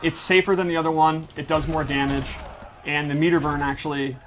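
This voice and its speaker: A man's voice: fairly high pitch, flat delivery, fairly quick, fairly bright tone. A man giving a casual, enthusiastic gameplay demonstration with slangy wording, as a game developer would.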